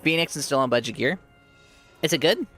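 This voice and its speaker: A man's voice: on the high side, lively intonation, a little fast, pushed and bright, soft background music. Chatty male streamer losing his voice. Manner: chatty, reads and answers viewers. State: losing his voice, sore throat. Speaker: game streamer. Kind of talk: casual live-stream chat while playing.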